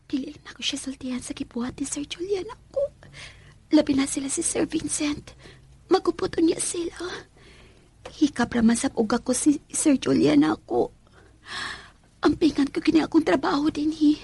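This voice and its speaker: A woman's voice: high voice, moderate pace, creaky, long pauses, darkish tone. A woman playing a timid, anxious young housemaid in a radio drama, hesitant and deferential, with a Filipino accent. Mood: resigned.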